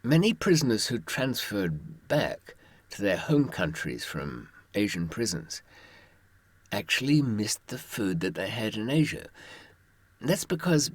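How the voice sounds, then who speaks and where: Male raconteur, very low and rough-edged husky voice, dry close sound, wry and understated, sharing hard-won advice informally. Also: sing-song, unhurried, no room sound. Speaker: author and former prisoner giving advice. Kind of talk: informal first-person storytelling to listeners.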